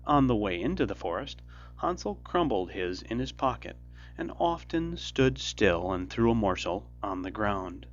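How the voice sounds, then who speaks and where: A man reads a fairy tale in a measured storybook style. His pitch rises and falls in a very lively way, with music underneath and slightly muffled sound. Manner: measured, expressive storybook delivery. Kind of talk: scripted fairy tale narration.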